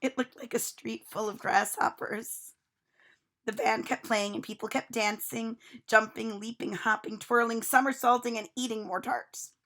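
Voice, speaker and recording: A woman reading a children's storybook aloud with expressive narration. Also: fairly high pitch, normal speed.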